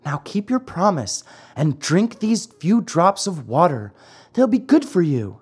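A narrator voicing a gentle, motherly fairy in a children's storybook, loving, patient and coaxing but firm. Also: medium pitch, sing-song, measured pace, slightly rough, voice pushed up.

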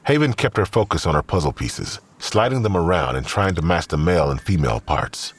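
A man narrates an audio drama from a script. His voice is deep and extremely raspy, yet it moves with lively, expressive intonation. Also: a little fast.

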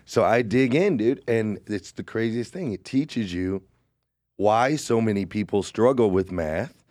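Lower voiced guy getting excited telling a story, very dry close mic. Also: no echo. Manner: animated storytelling, says "dude" and "right?" a lot. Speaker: podcast guest. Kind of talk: casual explaining.

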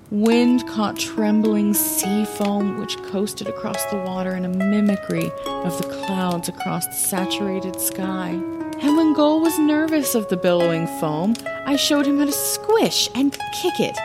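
Woman acting as young bard lady telling her fantasy tale, earnest n wistful, soft music behind. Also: medium pitch, close to an everyday voice. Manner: storytelling, expressive, lyrical. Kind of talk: first-person fantasy audio drama narration.

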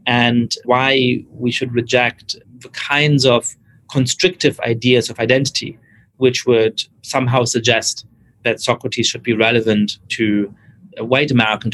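A man hosting a podcast, introducing his guest and asking about his life in an earnest, measured way. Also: mid-range voice, a bit bright, slight background noise, low-quality recording. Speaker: podcast host. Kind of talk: podcast interview.

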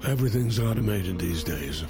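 An actor playing a guilt-worn, wry brother in an audio drama, speaking haltingly and naturalistically. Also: male voice, on the low side, animated, over music.

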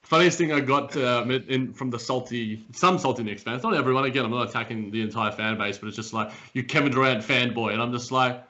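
Podcast co-host, man from Australia, bright edgy voice, rambling and animated, a bit raspy, lo-fi remote line. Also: mid-range voice, fast, hardly any pauses. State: slightly raspy morning voice. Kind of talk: casual sports podcast chat. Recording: dull lo-fi remote audio.

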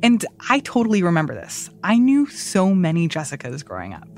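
A woman's voice: on the low side, wildly swooping pitch, fairly quick, darkish tone. A woman in her thirties hosting a news podcast, reading a script with chatty personal asides. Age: 30s. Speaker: podcast host.

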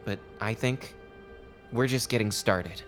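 A man's voice: fairly low pitch, takes it slowly, a bit bright. Conversational male narrator over faint music. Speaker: audio drama performer and show presenter. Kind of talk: first-person journal narration and show announcement.

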